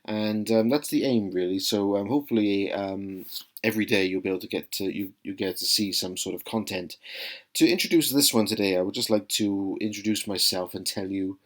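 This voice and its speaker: A man's voice: fairly low pitch, brisk. A man in his thirties from South Wales with a Welsh accent, talking casually to camera in a vlog, friendly and earnest, with many ums and uhs. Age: 30s. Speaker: vlogger.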